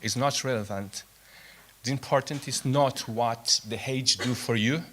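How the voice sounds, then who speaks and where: Bright-voiced Portuguese-speaking man presenting. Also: mid-range voice. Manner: self-deprecating, halting with fillers. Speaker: conference presenter. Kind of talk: nervous, earnest conference talk. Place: conference hall.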